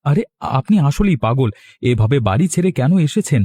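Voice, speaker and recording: Man playing a poor honest farmer, mid-pitched mellow voice, dry close sound, earnest cartoon story delivery. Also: big pitch swings, fairly quick, husky, no room sound. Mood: bewildered, protesting. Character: humble, honest poor young farmer and husband.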